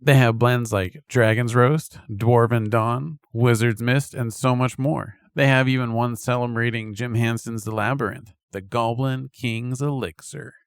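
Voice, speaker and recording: A man's voice: medium pitch, steady tone, measured pace, few pauses, clear, resonant tone, no room sound, clean studio sound. A man doing a scripted, skit-style podcast sponsor read with upbeat salesman patter. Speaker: podcast host reading an ad.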